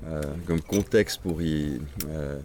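Very low-voiced Swedish-native man. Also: darkish tone, slight background noise. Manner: reflective, halting, many restarts. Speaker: crime novelist guest. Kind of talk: interview answers.

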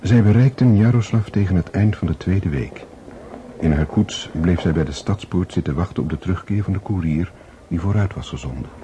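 A man narrating a radio play as a calm, sober storyteller, reading in a measured way. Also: low voice, highs cut off, slight background noise, lightly acted.

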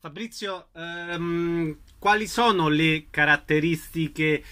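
A man's voice: on the high side, slow pace, pushed and bright. A man asking questions as an interviewer. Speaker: radio interviewer.

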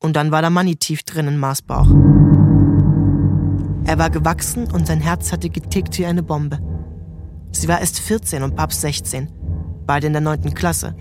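A man's voice: fairly high pitch, fairly even intonation, normal speed, pauses often. A man giving scripted literary narration as the narrator of an audio drama. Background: faint music.

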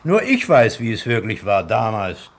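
An actor playing a wry, patient companion in a German radio play, with a dry, sardonic manner. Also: male voice, on the low side, big pitch swings, moderate pace. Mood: indulgent. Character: wry, patient companion humouring his friend.

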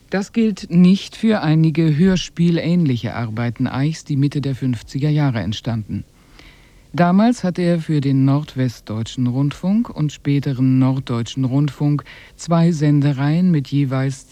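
Formal male presenter, mellow and higher-pitched. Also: dry recording. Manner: measured, formal, informative. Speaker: radio presenter introducing the broadcast. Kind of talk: scripted radio presentation.

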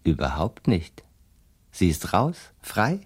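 An actor playing a triumphant, cynical defence lawyer in a German radio play, expansive and self-satisfied. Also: male voice, sits low, wildly swooping pitch, slow pace, breathy edge, exaggerated voice. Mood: triumphant, boastful. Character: triumphant, boastful defence lawyer, cynical card-player type.

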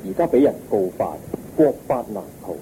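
An actor playing a calm, compassionate holy teacher, speaking in measured, solemn Hong Kong Cantonese in a radio drama. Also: male voice, medium pitch, slight background noise, rough recording. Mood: calm, explaining.

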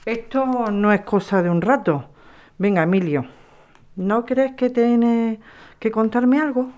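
A woman's voice: a little high, slow, darkish tone. A young woman playing a frank, insistent neighbour activist in an audio drama, speaking directly. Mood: suspicious, insistent. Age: young adult. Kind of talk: scripted audio drama acting.

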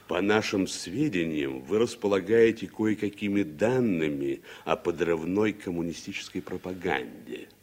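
A man's voice: low voice, normal speed, hardly any pauses, darkish tone. An actor playing a stern, humourless committee chairman in a formal, imperious manner, in 1960s Soviet radio drama style. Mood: probing. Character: stern, humourless American congressional committee chairman interrogating a witness.

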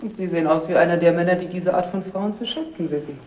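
A woman's voice: fairly low pitch, quick pace, natural voice. An actress playing a cool, teasing woman in a German radio crime drama, coy and dismissive with an admirer. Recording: phone-like old radio drama, clear noise.

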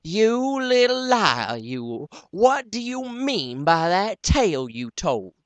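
Man putting on a high scolding wife voice, sharp, dry. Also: animated, measured pace, no room sound. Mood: shrill. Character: fed-up wife scolding her husband.

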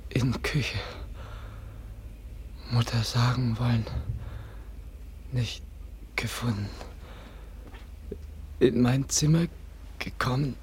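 A gravely injured simple youth, barely conscious in a radio play. He speaks extremely slowly in weak fragments at a middling pitch, over faint noise. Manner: halting, broken single words. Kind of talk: German radio drama acting.

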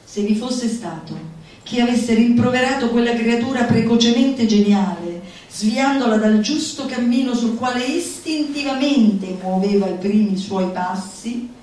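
A woman's voice: medium pitch, unhurried, a little mellow, natural voice. A woman playing a zealous lecturer, declaiming a comic manifesto in an emphatic, mock-solemn theatrical manner. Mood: pompous conviction. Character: zealous female lecturer preaching gleeful laughter at misfortune. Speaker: stage performer. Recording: roomy echoing live venue, distant mic, faint noise.

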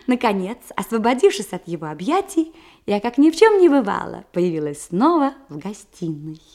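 An actress playing a sly, lively young bride who feigns modesty in a Russian radio comedy, with an expressive theatrical delivery. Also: female voice, mid-range voice, moderate pace. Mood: animated, playful.

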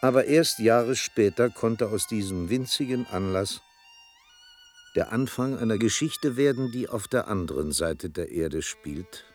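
An actor playing a reflective storyteller narrating a literary radio drama in a measured, dramatic manner. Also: male voice, low voice. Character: reflective male storyteller recalling his own tale.

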